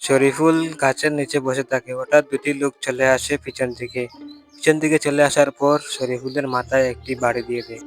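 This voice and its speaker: A male narrator tells a cartoon story in a medium pitch. His intonation stays flat and plain. Music is clearly heard behind him. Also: a little fast.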